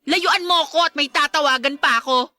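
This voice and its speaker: A woman's voice: high-pitched, a little fast, bright, forceful tone, dry recording. A woman playing a spiteful, brazen stepmother figure in a Filipino radio drama, with a taunting, condescending, sugary manner and a Filipino accent. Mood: irritated, dismissive. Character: spiteful, brazen stepmonster girlfriend of a widowed father.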